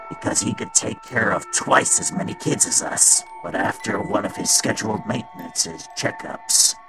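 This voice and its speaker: Haunted gravelly robot guy confessing, slow n whispery, swings a lot, music behind. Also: mid-range voice, exaggerated voice, low-quality recording. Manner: halting, sombre confession. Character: haunted, guilt-ridden male robot daycare attendant. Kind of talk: fan comic dub, dramatic storytelling.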